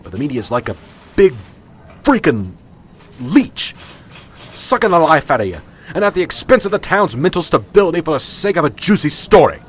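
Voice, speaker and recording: A man playing a gruff, stressed, exasperated police sergeant in a radio drama, ranting in folksy mild oaths. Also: on the high side, very animated, normal speed, pauses often. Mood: irritated ranting.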